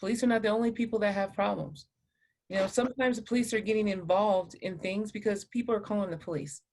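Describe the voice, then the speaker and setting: A middle-aged woman talks reflectively on a panel over a video call. Her pitch is mid-range for a woman. She speaks in a measured, earnest way and leans on key words for emphasis. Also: fairly even intonation, a little mellow. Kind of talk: spoken reflection in a live online discussion.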